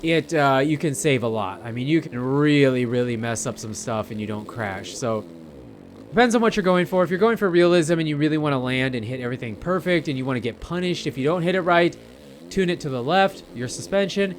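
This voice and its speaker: A man's voice: fairly high pitch, animated, a little fast, a little hiss or hum. A man giving casual, rambling gameplay commentary, chatting informally and speaking directly to his viewers. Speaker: gamer narrating gameplay.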